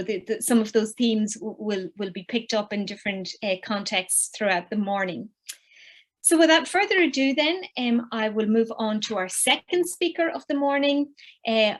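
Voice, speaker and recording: Woman, panel chair, slightly high voice, gracious, filler-heavy. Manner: gracious, with fillers and Irish phrases. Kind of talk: moderating a panel discussion.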